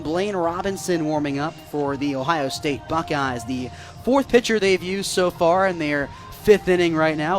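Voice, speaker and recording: A young American man doing live radio baseball play-by-play, lifting into excited calls on big plays. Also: fairly high pitch. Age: young adult.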